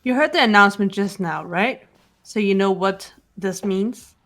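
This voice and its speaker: A woman playing a composed, serious teenage girl detective in a fan-voiced game, deliberate and matter-of-fact. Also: medium pitch, a bit bright, soft background music, close to an everyday voice. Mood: grave.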